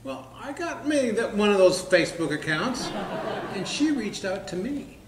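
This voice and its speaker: A man acts out a gullible, stubborn bar regular in a stage comedy. The voice is slightly high for a man, folksy and earnest, with a defensive edge. The room echoes and there is faint noise behind. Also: very animated, normal speed. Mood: smitten, proud. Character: gullible, lovestruck, stubborn barroom regular named Carl.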